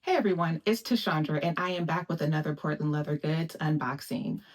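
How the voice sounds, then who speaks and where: A woman with a low, deep-set voice talks through an unboxing in a relaxed, casual way. She keeps an even, monotone delivery and pauses often with little fillers. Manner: monotone, self-described. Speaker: vlogger.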